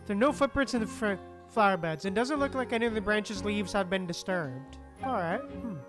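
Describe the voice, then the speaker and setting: A woman's voice: mid-range voice, lively intonation, brisk, music in the background. A woman streaming a game with casual, musing, sweary commentary, reading game text aloud.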